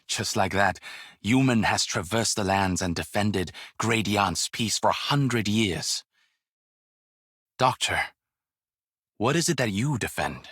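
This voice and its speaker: An actor voicing a calm, dignified veteran warrior in a video game, speaking in a measured, solemn manner. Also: male voice, lowish voice, husky, no echo, clean studio sound, exaggerated voice. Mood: calm, reflective. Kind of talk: scripted game character lines.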